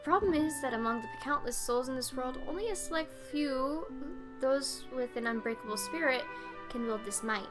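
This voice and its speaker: A woman voicing an earnest, solemn young goddess-reborn heroine in a video game, measured and slightly halting. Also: sits high, natural voice. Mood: earnest explaining. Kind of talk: video game dialogue read-aloud. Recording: music behind the voice.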